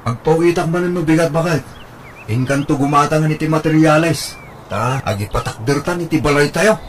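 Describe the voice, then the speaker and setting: A man's voice: fairly high pitch, moderate pace, slight background noise, natural voice. A man with an Ilocano accent playing a hardworking, devoted husband in a radio drama, speaking earnestly. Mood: content.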